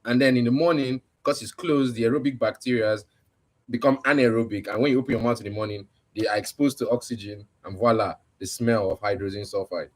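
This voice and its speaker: A man's voice: mid-range voice, brisk. A man from Nigeria hosting a livestream, chatting casually and explaining things in a conversational way. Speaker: livestream host and podcaster.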